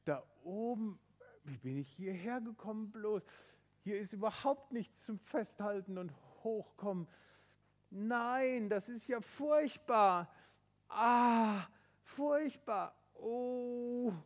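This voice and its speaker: A man's voice: high voice, lively intonation, takes it slowly, pitched above the performer's own voice. A man voicing a small boy stranded on a mountain ledge with a hurt foot, whimpering and calling for help. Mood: frightened.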